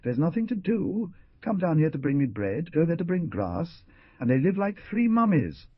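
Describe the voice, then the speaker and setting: A man's voice: fairly high pitch, big pitch swings, moderate pace, slightly rough, dry recording, voice pushed up. A man voicing a troubled young man who pleads and dreams aloud in a weak, sweet voice. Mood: plaintive. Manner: passionate, pleading speech. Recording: dull, dry recording.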